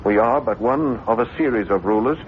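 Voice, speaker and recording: Radio mystery role: male actor as intense, haunted French writer, argumentative and theatrical, middle-pitched, on a dull old recording. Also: brisk, lightly acted. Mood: fervent, insistent. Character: obsessed, agitated French writer convinced of an unseen invader. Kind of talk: 1970s radio drama acting. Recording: muffled, noisy old radio broadcast recording.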